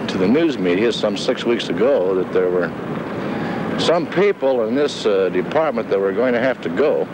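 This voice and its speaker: A man answering a reporter's questions as an official, speaking haltingly in a location recording. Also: lively intonation. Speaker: sheriff being questioned by a reporter. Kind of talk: spontaneous news interview answer. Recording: noisy, rumbling location sound.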